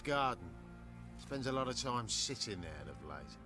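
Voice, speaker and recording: An actor playing a plain-spoken, guarded servant of a baron in a video game, with a clipped rustic manner. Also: male voice, mid-range voice, sing-song, measured pace, vocal fry, music in the background. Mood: glum.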